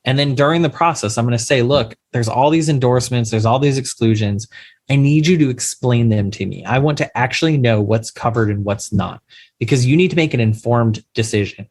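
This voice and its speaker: A man's voice: medium pitch, a little fast, a little mellow. A man, an insurance attorney, explaining coverage to investors in an emphatic way, often with rhetorical questions, on a podcast interview. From Louisiana, USA.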